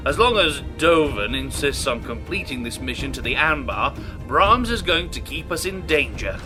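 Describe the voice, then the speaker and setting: An actor playing a disgraced starship officer in an audio drama, slyly persuasive, polite and insinuating. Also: male voice, mid-range voice, very animated, normal speed, music in the background, lightly acted. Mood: earnest, pressing. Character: smooth-talking, scheming male disgraced officer, slyly persuasive. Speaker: starship officer, former captain. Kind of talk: science fiction audio drama acting.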